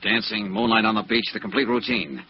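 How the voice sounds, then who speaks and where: An actor playing a tough, sarcastic American insurance investigator in a clipped, hard-boiled 1950s radio noir style. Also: male voice, fairly low pitch, fairly quick, a little hiss or hum, close to an everyday voice. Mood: sarcastic, accusing. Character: tough, sardonic male freelance insurance investigator. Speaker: radio drama lead actor. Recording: dull vintage radio recording.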